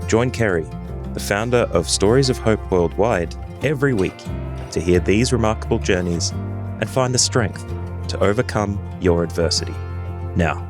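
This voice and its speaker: Male announcer, low voice, smooth scripted intro, guitar music underneath. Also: moderate pace. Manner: smooth, even announcer delivery. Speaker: show announcer.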